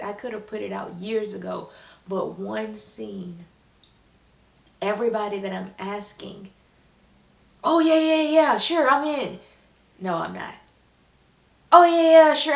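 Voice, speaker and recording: A woman vlogger speaking candidly and informally in a halting way, as a writer talking about her own creative work. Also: a little high, creaky. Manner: halting, informal, acts out quoted remarks. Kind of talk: candid personal vlog. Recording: echoey room sound.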